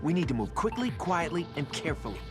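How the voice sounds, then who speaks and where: An actor playing a serious, determined teenage mutant turtle leader in a video game, speaking in a measured, commanding manner. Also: male voice, fairly high pitch, sing-song, music in the background.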